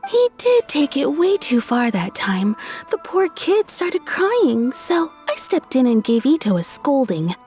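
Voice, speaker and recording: Game townswoman: kindly, expressive storyteller, animated delivery, light music underneath. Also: sits high, unhurried. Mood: earnest. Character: cheerful, kindly townswoman telling local anecdotes. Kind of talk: video game dialogue.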